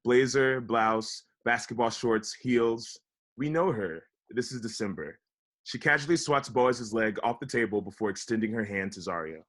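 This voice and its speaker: A man's voice: mid-range voice, moderate pace, fairly bright tone. A man reading stage directions aloud as the narrator of a scripted table read.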